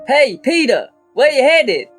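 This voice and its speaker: A woman voicing an eager, unsure teenage boy superhero in an excitable, earnest children's storybook manner. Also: high voice, a little slow, soft background music. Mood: eager, puzzled.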